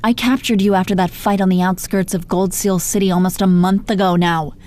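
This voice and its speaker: A woman's voice: mid-range voice, steady tone, brisk, a little mellow, lightly acted. A woman playing a gloating, menacing female supervillain in a roleplay audio, condescending, sardonic and exasperated, with heavy swearing. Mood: mocking impatience. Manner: taunting, condescending pet names, heavy swearing. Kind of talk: dramatic roleplay audio monologue.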